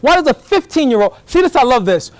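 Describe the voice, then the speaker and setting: A middle-aged man giving a lively college lecture in fast bursts, often checking whether the class is following. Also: extremely high pitch, slight background noise. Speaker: guest lecturer, professor.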